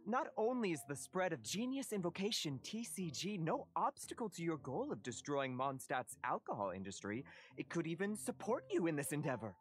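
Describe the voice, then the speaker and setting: A woman's voice: low-pitched, big pitch swings, moderate pace, soft background music. A woman voicing a theatrical girl in a video game who speaks grandly and formally, as if of destiny. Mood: grandiose. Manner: pompous, dramatic, old-fashioned formal diction.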